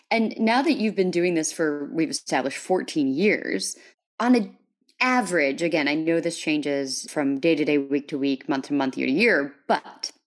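A man hosting a podcast, interviewing in a conversational way with wordy questions that he rephrases as he goes. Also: a little high. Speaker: podcast host.

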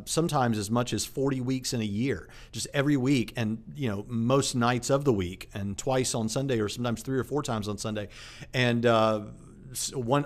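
A man, a preacher and channel host, talking casually about faith and evidence, with frequent fillers and restarts. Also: medium pitch. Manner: conversational with many fillers and restarts. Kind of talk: casual apologetics talk to camera.

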